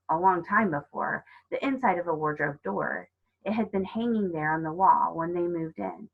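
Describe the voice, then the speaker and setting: Female storybook narrator, lowish husky voice, expressive read-aloud delivery, slightly dull lo-fi recording. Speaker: storybook reader. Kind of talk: scripted narration of a children's novel.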